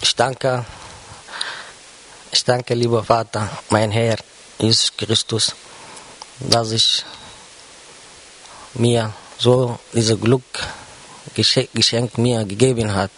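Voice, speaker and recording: A man whose first language is Arabic, speaking to his church congregation in a halting personal testimony, with many pauses and fillers. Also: lowish voice, a bit bright, slight background noise, rough recording. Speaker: church member addressing the congregation. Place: church service.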